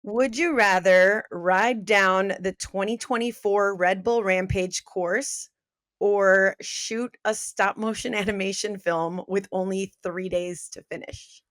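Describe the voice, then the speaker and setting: A woman's voice: medium pitch, steady tone, slow pace, fairly bright tone, dry recording. A woman hosting a podcast, giving an enthusiastic introduction and asking upbeat interview questions. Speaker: podcast host.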